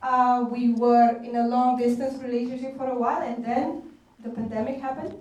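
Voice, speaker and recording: A woman's voice: fairly high pitch, fairly even intonation, fast, a little mellow, lo-fi sound. A woman in her thirties speaking on a conference panel, laughing often at herself. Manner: laughing often, self-deprecating. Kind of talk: panel discussion. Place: conference hall. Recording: echoey hall, distant mic, faint noise.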